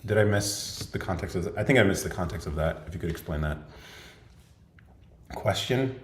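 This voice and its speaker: A man's voice: low voice, lively intonation, normal speed, slight background noise. A young man hosting a livestream Q&A podcast, chatting casually and laughing often as he jokes. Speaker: podcast host. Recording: echoey room.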